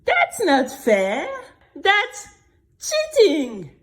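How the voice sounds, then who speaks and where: A woman playing an earnest, resilient young singing contestant, narrating her own story in an expressive, deliberate, dramatic way. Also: extremely high pitch, heavily acted. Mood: indignant. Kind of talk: scripted dramatic first-person storytelling.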